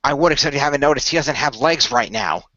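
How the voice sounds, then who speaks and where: A man voicing an earnest, tactical hero in an audio roleplay, thinking his plans out loud, on a slightly dull microphone. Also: mid-range voice, lively intonation, quick pace, no echo. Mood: explaining. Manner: earnest, planning aloud. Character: earnest, tactical young male hero with battle rings.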